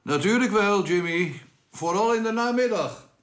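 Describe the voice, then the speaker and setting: An actor playing an easygoing, faintly teasing colleague in a radio drama. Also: male voice, sits high, expressive, moderate pace, fairly bright tone.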